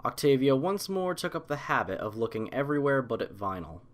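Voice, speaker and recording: A man reads scripted fiction as the narrator of an audio story. His voice is mid-pitched, and his intonation rises and falls in a lively, expressive way. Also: brisk.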